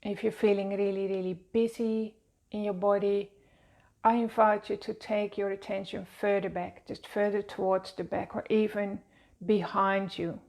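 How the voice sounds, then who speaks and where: Woman, Dutch accent, gentle guided-meditation teacher, creaky fry, mid pitch. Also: flat delivery. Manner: slow, gentle, soothing guidance. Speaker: wellness teacher. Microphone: seated at a desk, speaking to camera.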